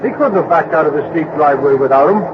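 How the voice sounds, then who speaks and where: A man's voice: a little high, fast, close to an everyday voice. An American actor playing a folksy, opinionated policeman in an old-time radio drama, talking in a colloquial, emphatic way. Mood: insistent, matter-of-fact. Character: folksy, opinionated male policeman, plainspoken and sure of himself. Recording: muffled, noisy vintage radio broadcast.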